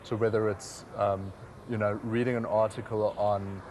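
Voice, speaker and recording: A man's voice: lowish voice, level tone, normal speed, darkish tone, slight background noise. A man from South Africa, a website founder answering interview questions with many fillers and restarts.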